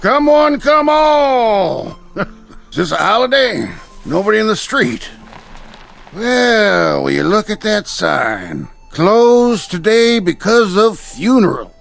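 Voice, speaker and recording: High-pitched, gravelly, gleefully cruel Western peddler. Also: male voice, sing-song, slow pace, fairly bright tone, faint music behind, exaggerated voice. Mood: nosy, jeering. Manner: taunting, sing-song salesman's drawl with cackling. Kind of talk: old-time radio drama acting.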